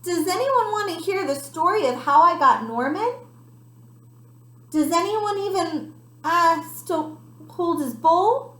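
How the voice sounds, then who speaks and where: A woman voicing an earnest young child who narrates a picture-book story with expressive emphasis. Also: very high voice, slow pace, pitched above the performer's own voice, exaggerated voice. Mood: sheepish. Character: earnest, dramatic young child telling a pet goldfish story. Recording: roomy, echoey sound.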